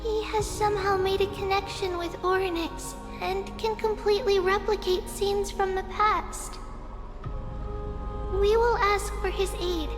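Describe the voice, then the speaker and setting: Tiny, gentle girl seer for a game, squeaky-high and pleading, big reverb. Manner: polite, pleading, earnest. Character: earnest, gentle little girl, a peacemaking prophetess. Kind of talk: dramatic video game voice acting. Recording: long reverb, music behind.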